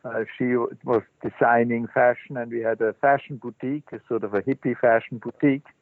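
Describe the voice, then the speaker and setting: An older man, a Zen teacher telling his life story as an interview guest, halting and thoughtful with many restarts. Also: mid-range voice, little pitch movement, dry recording. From Switzerland. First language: German. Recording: dry, tinny phone-line sound.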